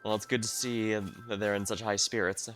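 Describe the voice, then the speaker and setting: A man's voice: on the low side, flat delivery, fairly quick, fairly bright tone. A man giving casual livestream commentary as he plays through a story game. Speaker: game streamer. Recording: game music behind the voice. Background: game music.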